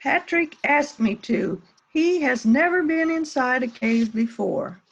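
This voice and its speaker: A woman's voice: sits high, expressive, unhurried. A woman playing a small, innocent-sounding murder suspect in an amateur mystery reading over dull call audio. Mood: mournful. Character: small, guileless-sounding female murder suspect spinning an alibi. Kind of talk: amateur readers theater mystery.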